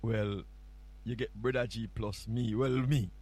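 A man's voice: fairly low pitch, fairly even intonation, unhurried, a little mellow, breathy edge. A man with a Jamaican accent chatting casually as a radio host, often repeating words and phrases for emphasis. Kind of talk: casual morning radio show chat. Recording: music playing behind the voice. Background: music.

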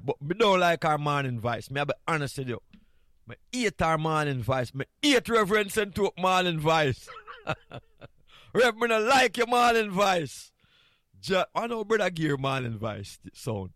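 A man's voice: a little high, creaky, fairly bright tone, no room sound. Jamaican man hosting radio, close dry sound. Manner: playful, candid, repeats phrases for emphasis. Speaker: radio host. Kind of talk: casual morning radio chat.

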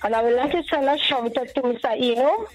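A woman's voice: high voice, fast talker, low-quality recording. A woman with a Grenadian accent chatting casually as a caller to a radio show, playful, teasing and familiar. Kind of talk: casual chat.

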